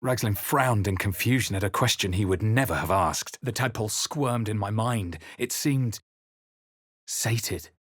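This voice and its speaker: A male actor playing a brooding fantasy adventurer in a role-playing game, with a husky voice and a measured, dramatic delivery. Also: on the low side, a bit bright, no echo, studio quality. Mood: haunted, solemn. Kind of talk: scripted game voice lines and first-person narration.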